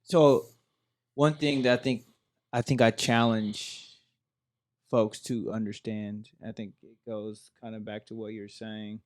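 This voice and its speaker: A man's voice: lowish voice, flat delivery, measured pace, darkish tone. An American man hosting a casual podcast discussion, speaking earnestly and reflectively. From United States. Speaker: podcast host.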